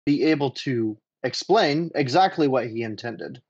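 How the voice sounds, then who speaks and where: Measured man on slightly muffled mic. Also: mid-range voice, lively intonation, darkish tone, breathy edge, no room sound. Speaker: podcast panelist. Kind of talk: casual panel discussion. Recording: slightly dull lo-fi audio, dry.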